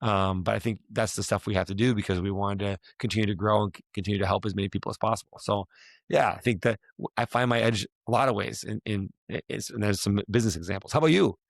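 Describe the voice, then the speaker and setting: Hoarse, raspy low-voiced man. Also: fast talker, no echo. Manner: rambling, restarts often, questioning. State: hoarse from a week of yelling. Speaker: podcast host, fitness coach. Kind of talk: casual chat.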